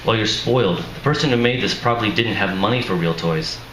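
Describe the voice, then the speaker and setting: Guy doing an upbeat mom voice, pitched up, coaxing her kid, roomy echo. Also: brisk, fairly bright tone, lightly acted. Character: woman, a boy's cheerful, practical mother. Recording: echoey room with faint noise.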